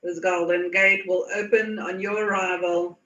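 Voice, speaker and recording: Woman meditation guide, very flat intonation, soothing pauses, distant muffled roomy recording. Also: on the low side. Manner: soothing, unhurried pauses, repeats phrases. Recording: muffled, echoey, distant from mic.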